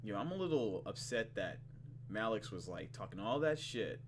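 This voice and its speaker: A man's voice: on the low side, big pitch swings, normal speed, slight background noise. A man hosting a let's play, casually and expressively commenting and swearing as he reads visual novel text aloud.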